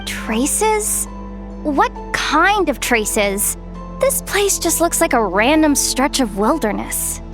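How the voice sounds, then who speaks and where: High, slow-spoken young heroine. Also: female voice, very animated, music in the background, exaggerated voice. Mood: curious, pondering. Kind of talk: video game voice acting.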